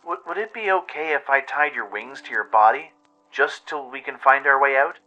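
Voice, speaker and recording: A man's voice: mid-range voice, lively intonation, normal speed, sharp tone, highs cut off, soft background music, voice pushed up. A man voicing an earnest, kind and anxious young pony in a radio play. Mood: pleading, earnest.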